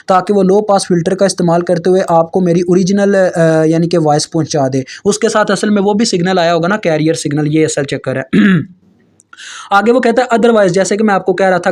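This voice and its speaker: High-voiced young Pakistani man tutoring. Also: a little mellow. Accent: Pakistani Urdu. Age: 20s. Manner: informal, addresses viewers as kids, frequent fillers and restarts; slowly reads English textbook lines aloud. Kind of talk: casual explanatory lecture over slides.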